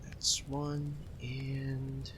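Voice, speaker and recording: A man's voice: medium pitch, extremely slow, background noise. A man playing a giddy, homesick young friend in an ASMR roleplay, chatting casually with a giggly, hesitant manner. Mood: cheerful, instructing. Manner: giggly, hesitant, softly excitable. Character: giddy, homesick young male Filipino friend, sweet and apologetic. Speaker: audio roleplay performer.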